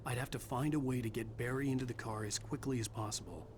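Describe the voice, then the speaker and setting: A man's voice: medium pitch, steady tone, fast talker, noisy background, natural voice. A man playing a brooding, weary writer who narrates his thriller ordeal in a video game, grave and introspective. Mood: grim. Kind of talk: scripted first-person game narration. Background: background music.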